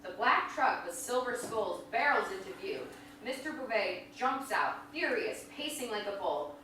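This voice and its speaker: A woman's voice: fairly high pitch, animated, moderate pace, pushed and bright. A woman reading scripted stage directions as narrator of a live staged reading. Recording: distant, very echoey room, faint noise.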